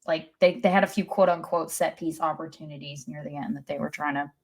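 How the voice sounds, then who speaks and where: A woman's voice: sits low, fairly even intonation, fairly quick. A woman co-hosting a casual sports podcast, talking with wry, sarcastic asides. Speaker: podcast co-host.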